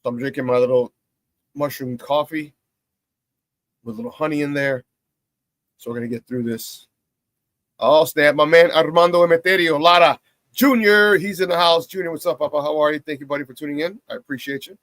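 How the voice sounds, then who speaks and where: A man hosting a morning sports talk show, hoarse from a cold, talking in a hyped, slangy, casual way. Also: a little high, a bit bright, no room sound. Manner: hyped, slangy, drawn-out hype calls. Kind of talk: casual chat.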